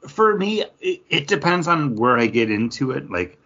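A man's voice: mid-range voice, very animated, normal speed, sharp tone. A man co-hosting a casual comic book review, hesitant as he thinks aloud. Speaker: co-host of a comics review show. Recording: dull lo-fi audio.

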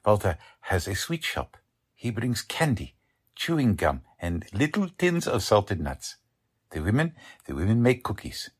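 A man playing a humble, kindly immigrant baker in a radio drama, with a halting, gentle way of repeating himself. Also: on the low side, huge pitch swings, breathy edge, exaggerated voice. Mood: humble, earnest. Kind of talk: old-time radio drama acting.

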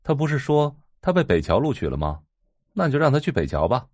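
Arrogant rich-boy role male actor, mid-pitched, sneering and mocking, highly expressive delivery. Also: fast talker, breathy edge, no echo, clean studio sound. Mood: fond exasperation. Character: scornful, arrogant teenage boy, protective of girl.

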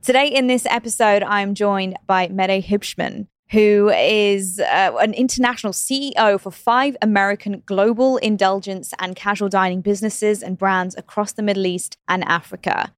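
A woman's voice: medium pitch, steady tone, normal speed, hardly any pauses, no room sound, studio quality. A woman hosting a podcast interview, effusive and enthusiastic, laughing often. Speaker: podcast host.

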